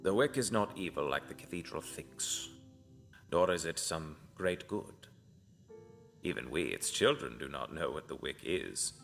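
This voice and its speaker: Here is a solemn fantasy seer acted by a man, grave and devout, guiding a future assassin. His voice sits extremely low with a gravelly creak, often hushed, over faint-to-clear music. The delivery is cryptic and ominous, as in a dark audio drama. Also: lively intonation, measured pace, full character voice. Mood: hushed, prophetic.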